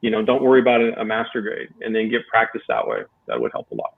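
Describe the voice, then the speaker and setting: A man's voice: on the low side, big pitch swings, fast talker, creaky. A man talking casually as a contest judge, explaining technique in a conversational, encouraging way. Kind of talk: casual spoken critique and advice. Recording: thin phone-like voice channel.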